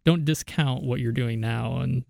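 Casual podcast panelist: young man with a creaky voice, recorded dry. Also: medium pitch, a little slow, a little mellow, dry recording. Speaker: medical student podcast panelist. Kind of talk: casual chat.

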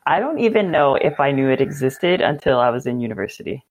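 A woman's voice: very deep voice, big pitch swings, fast, a little mellow, low-quality recording. Casual young woman from Hawaii. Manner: casual, friendly, uses "like" often. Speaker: interviewer. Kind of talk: conversational interview.

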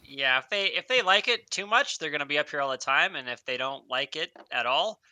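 A man's voice: fairly high pitch, animated, a little fast, sharp tone, no room sound. A man co-hosting a podcast in playful, goofy casual chat. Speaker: podcast co-host.

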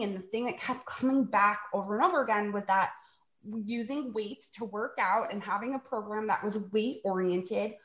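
A woman's voice: fairly high pitch, fairly bright tone. A woman in her forties in a casual podcast chat, telling stories in a chatty way. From New York. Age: 40s. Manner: chatty storytelling, laughs while acting out quotes. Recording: echoey room.

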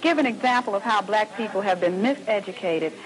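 A woman announcer giving a measured, formal scripted introduction to a recorded program. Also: mid-range voice, animated, low-quality recording. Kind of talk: scripted narration.

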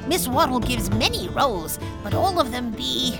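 A woman voices a bossy, excitable school kid in a cartoon. The voice is high and scratchy with creak, bouncing all over in pitch, brash and full of exclamations. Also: normal speed, over music. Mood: dismayed. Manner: brash, exclamatory, slangy with mock-medieval flourishes.

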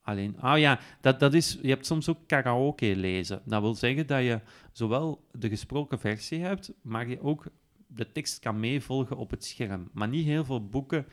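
A man with a Flemish accent presenting an informal software demonstration, explaining step by step and hesitantly repeating screen labels. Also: medium pitch, normal speed. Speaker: podcast presenter demonstrating an app. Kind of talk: informal spoken software walkthrough.

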